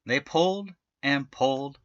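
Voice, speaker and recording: A man reading a children's story aloud in an animated way, stretching out key words for emphasis. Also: medium pitch, unhurried, muffled recording. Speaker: storybook reader.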